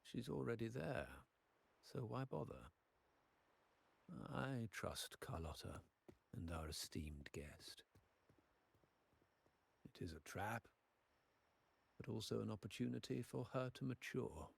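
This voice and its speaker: An actor playing a calm, calculating head of a powerful family in a game, speaking in a measured, authoritative way with a gravelly, breathy voice. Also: male voice, mid-range voice, exaggerated voice. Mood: cool, assured. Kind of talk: dramatic video game voice acting.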